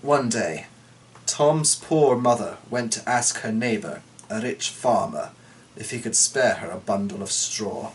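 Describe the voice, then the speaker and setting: A man's voice: on the low side, lively intonation, unhurried. A man giving a dramatic storybook reading, breaking off into chatty, irreverent, sweary asides. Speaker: storyteller reading a folk tale aloud. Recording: distant mic with faint background noise.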